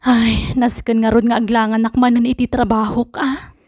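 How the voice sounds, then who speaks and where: An actress playing a humble, patient mother in an Ilocano drama, speaking in a gentle, unassuming way. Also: a little high, flat delivery, normal speed, close to an everyday voice. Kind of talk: Ilocano radio drama acting.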